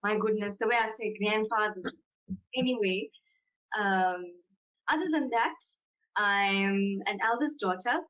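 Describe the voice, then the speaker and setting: A woman's voice: mid-range voice, measured pace, long pauses, fairly bright tone, low-quality recording. A young woman with a South African accent, chatting casually as a podcast guest in a self-deprecating, talkative way. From South Africa, Johannesburg and Durban. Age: young adult. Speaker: podcast guest, content creator. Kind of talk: casual interview chat.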